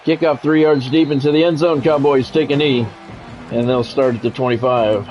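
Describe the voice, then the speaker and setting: A man's voice: medium pitch, fairly even intonation, moderate pace, darkish tone, faint music behind, low-quality recording. A man doing practised radio-style football commentary in clipped phrases, mixed with casual chat, as he streams a video game.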